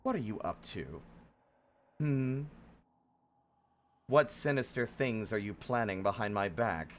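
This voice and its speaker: A man's voice: fairly low pitch, lively intonation, takes it slowly, a little hiss or hum. A man chatting casually as he hosts a livestream, playful and theatrical, rambling between greetings and exclamations. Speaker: live streamer, host. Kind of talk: casual livestream chat with viewers.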